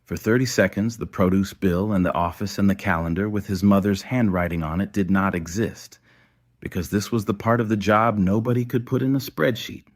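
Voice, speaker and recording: A man with a deep voice narrates an audio story. He reads prepared prose as the storyteller between lines of dialogue. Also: fairly even intonation, a little fast.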